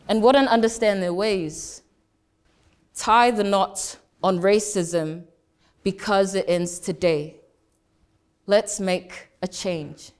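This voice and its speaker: Late-teen girl from Samoa, bright high voice; measured orator who drags out punchlines. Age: late teens. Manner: emphatic, slows right down on key phrases. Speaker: student speaker. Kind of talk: prepared speech competition oratory. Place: school speech competition.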